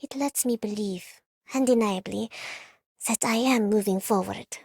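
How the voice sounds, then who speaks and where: A woman's voice: mid-range voice, measured pace, gravelly, darkish tone, slightly rough, dry recording, clean studio sound. A woman voicing a bookish, thoughtful young scholar in a video game, reflective and formal. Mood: pensive. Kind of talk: video game character voice lines.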